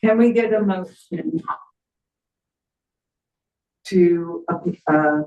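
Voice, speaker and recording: A person chairing a public board meeting, speaking haltingly and reading out motions and figures in a procedural way. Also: mid-range voice, reverberant, narrow, tinny audio, soft background music. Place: public meeting, picked up from a distance. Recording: distant microphone in a meeting.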